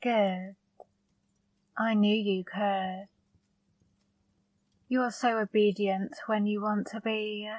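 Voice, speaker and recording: A woman plays a flirty female demon in an intimate ASMR roleplay, speaking extremely slowly and close to the mic. Her delivery is even in pitch, coaxing and softly commanding, with a fond, possessive edge. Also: no echo, close to an everyday voice. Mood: gently stern, seductive. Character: flirty female demon, seductive, controlling, fondly scolding. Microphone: close microphone.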